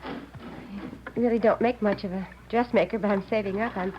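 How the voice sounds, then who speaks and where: A worried American woman in her thirties, played by a radio actress speaks hesitantly, pleading and protective, at a medium pitch. The old radio drama recording is muffled, with faint noise. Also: fairly even intonation, normal speed, natural voice. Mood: anxious, pleading. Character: anxious, protective seamstress in her thirties, older sister. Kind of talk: 1950s radio drama acting.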